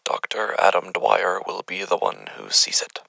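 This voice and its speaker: A man playing an unsettled doctor who records his findings haltingly, as a horror podcast monologue. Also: low-pitched, big pitch swings, gravelly, sharp tone, no echo, heavily acted. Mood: shaken, hesitant. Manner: halting, hesitant, stammering. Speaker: lead character of a horror audio drama.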